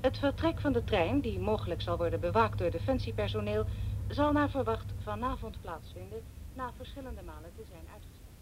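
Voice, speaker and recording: An actress playing a formal radio newsreader reading a bulletin in a radio drama. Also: female voice, mid-range voice, animated, a little fast, lightly acted. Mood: formal, neutral. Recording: faintly noisy radio broadcast.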